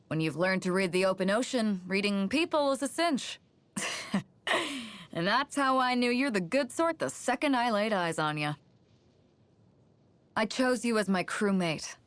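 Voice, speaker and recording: A woman's voice: mid-range voice, a bit bright, dry recording, lightly acted. An actress voicing a bold, hearty female sea captain in a video game, with a confident, breezy, casual manner. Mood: warm, proud. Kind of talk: scripted game character voice lines. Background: music behind the voice.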